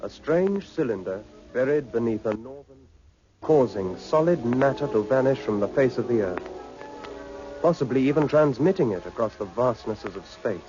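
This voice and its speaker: A man's voice: medium pitch, animated, moderate pace, warm tone, soft background music, close to an everyday voice. An actor playing a serious male storyteller narrating a suspenseful 1960s radio serial. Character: serious male storyteller recapping a mysterious affair. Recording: muffled old radio recording.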